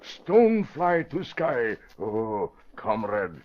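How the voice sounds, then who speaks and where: An actor playing a rough, curt henchman with a gruff manner in an old radio drama. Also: lowish voice, big pitch swings, extremely slow, full character voice. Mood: awed. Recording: muffled, hissy vintage radio recording.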